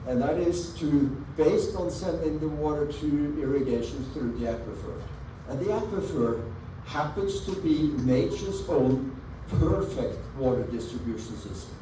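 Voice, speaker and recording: A man's voice: on the high side, expressive, warm tone. Norwegian-accented man presenting in an echoey hall. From Norway. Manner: earnest, measured, stresses key words. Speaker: presenter at a community annual meeting. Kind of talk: presentation talk over slides. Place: meeting room with an audience. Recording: echoey meeting room, mic at a distance, audible background noise.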